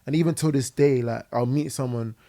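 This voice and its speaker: A man's voice: medium pitch, a little fast, dark tone. A young man with a London accent, a guest musician chatting casually in a podcast interview, full of fillers and "innit". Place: living room podcast set. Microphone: boom mic on arm.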